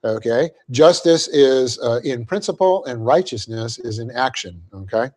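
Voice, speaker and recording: Man, Bible class teacher, verse-by-verse lecture, explains Greek grammar, spells out words, clears throat, says "okay" a lot. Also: mid-range voice, expressive, measured pace, a little mellow.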